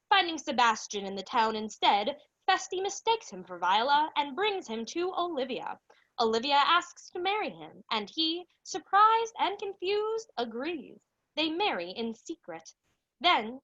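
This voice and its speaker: A young woman playing a chirpy 1940s-style radio announcer, pitching ads and recapping the story, on slightly dull audio. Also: bright, forceful tone, full character voice. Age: young adult. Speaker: narrator and announcer.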